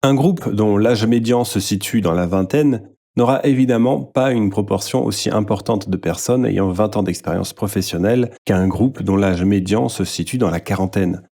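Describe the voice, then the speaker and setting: A man reading a nonfiction book aloud as scripted narration, in a measured, formal way. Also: lowish voice, gravelly, mellow. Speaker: audiobook-style reader.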